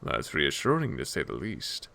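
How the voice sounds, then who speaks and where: A man's voice: medium pitch, big pitch swings, a little slow, sharp tone, exaggerated voice. A man voicing a composed, gracious clawed emperor in a fantasy story, speaking formally and politely. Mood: concerned.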